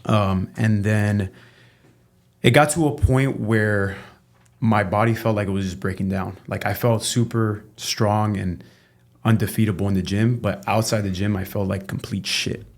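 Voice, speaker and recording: A man from Cuba speaking with a fairly low voice as a podcast guest. He tells his story in a loose, candid way and keeps checking in with "right?". He swears casually and without fuss. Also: measured pace. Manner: relaxed and candid, tags statements with "right?", swears freely. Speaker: chiropractor guest.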